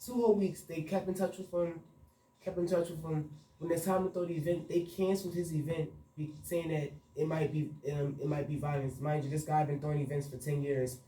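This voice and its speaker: A man's voice: fairly high pitch, level tone, a little fast, roomy sound, recorded from a distance, low-quality recording. A young man, a party promoter speaking earnestly in a radio interview. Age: young adult. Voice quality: soft voice. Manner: earnest, frustrated storytelling with frequent fillers.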